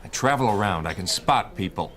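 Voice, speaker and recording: A man as a low-voiced, sardonic secret agent. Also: lively intonation, a little fast, music in the background, close to an everyday voice. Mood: blunt, insistent. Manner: glib, blunt, needling. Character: shrewd, sardonic, blunt secret agent, older brother. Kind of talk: radio drama acting.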